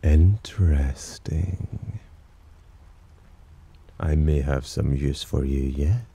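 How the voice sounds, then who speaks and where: A man playing a teasing, possessive demon in an ASMR roleplay, with a breathy, husky voice and a smug, theatrical drawl. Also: rumbling low, very slow, mellow, full character voice. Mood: intrigued. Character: teasing, possessive male demon sheltering a human. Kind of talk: ASMR roleplay, slow intimate character acting.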